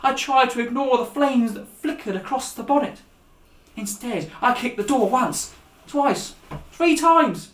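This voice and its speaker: A man reading a story aloud for young listeners as an author and storyteller, in an animated, direct way. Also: very high voice, measured pace. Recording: echoey room.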